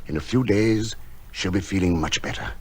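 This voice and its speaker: Low-voiced, gentle, lonely recluse. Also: male voice, slight background noise. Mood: tender storytelling. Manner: gentle, measured, literary. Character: lonely, gentle, misshapen male recluse who tends wild birds. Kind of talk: radio drama acting and first-person narration. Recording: music behind the voice.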